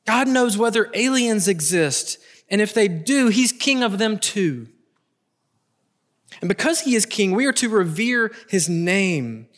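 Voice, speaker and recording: A middle-aged man preaching a prepared sermon, earnest and emphatic, with deliberate pauses and repeated phrases for weight. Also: high voice, fairly bright tone.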